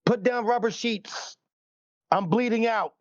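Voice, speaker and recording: A man's voice: very high-pitched, measured pace, no echo. A man playing a tabletop game, joking in character with casual, melodramatic complaints, on a dull microphone. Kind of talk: casual improvised role-play chat.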